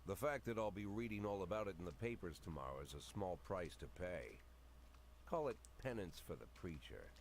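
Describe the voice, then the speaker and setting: An actor playing a cynical, haunted middle-aged police chief in a brooding noir video game monologue. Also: male voice, sits low, animated, background noise. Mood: brooding, reflective. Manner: brooding, confessional, measured. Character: world-weary, cynical male police chief, haunted and bitter. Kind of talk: dramatic noir game narration.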